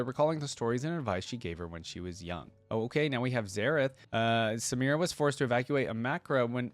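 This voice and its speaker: A man's voice: mid-range voice, no echo. A man hosting a reaction video, talking casually and giving opinions between bits of text read aloud. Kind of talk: casual reaction commentary mixed with reading wiki text aloud.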